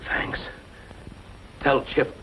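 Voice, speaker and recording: An actor playing a gunshot, dying outlaw in an old western film, speaking in a weak, halting way with weary remorse. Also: male voice, dull audio. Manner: halting, weak deathbed speech. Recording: noisy old film soundtrack.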